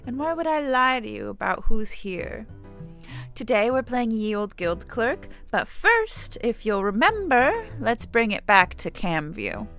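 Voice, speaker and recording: Bright-voiced young woman streamer. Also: on the high side. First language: Samayach. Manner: rambling, chatty, swears casually. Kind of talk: casual gaming stream chat.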